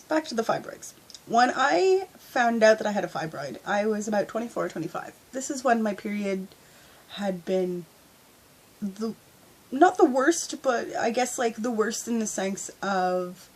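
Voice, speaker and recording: A woman's voice: a little high, slightly rough. Chatty young woman with a stuffy cold. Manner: chatty and candid, with frequent fillers. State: stuffy nose from a head cold. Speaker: vlogger. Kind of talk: casual storytime vlog. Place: in her bed at home. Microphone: handheld phone at arm's length.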